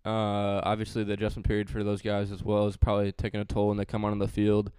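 Chatty man with a clear, dry voice. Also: lowish voice, completely flat delivery, fast, darkish tone, no room sound. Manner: chatty, joking, with many fillers. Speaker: podcast host. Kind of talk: casual sports chat.

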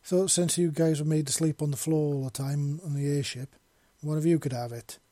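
A man voicing a proud, blunt human man who is quick to snap, in an audiobook story. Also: on the high side, fairly even intonation, warm tone, dry recording, lightly acted. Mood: casual. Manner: blunt, sweary.

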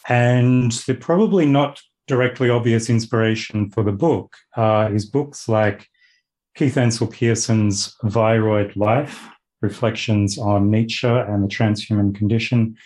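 A man with an Australian accent, a philosophy lecturer speaking as a guest in a casual interview, thoughtful and measured with occasional fillers. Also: lowish voice, a little mellow.

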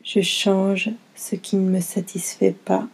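A woman guiding a yoga nidra meditation in a gentle, unhurried manner, giving soothing instructions in short phrases with long pauses. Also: mid-range voice, level tone, gravelly, slightly rough, low-quality recording.

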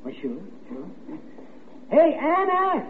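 An actor playing an obliging, nervous husband at home in an old-time radio drama. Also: male voice, very high voice, wildly swooping pitch, takes it slowly, exaggerated voice. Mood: uneasy politeness. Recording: tinny, noisy old radio recording.

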